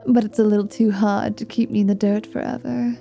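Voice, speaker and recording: A woman's voice: mid-range voice, level tone, a little fast, gravelly, dark tone, faint music behind, natural voice. A woman voicing a flirty, world-weary female dhampir mercenary in a fantasy ASMR roleplay, teasing and dry with dramatic flair. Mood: sardonic, brooding.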